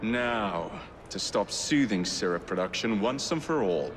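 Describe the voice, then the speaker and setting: An actor playing a cocky young Victorian rogue in a game, with a dry, flippant, teasing manner. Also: male voice, on the low side, lively intonation, unhurried, background noise. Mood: determined. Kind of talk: video game cutscene acting.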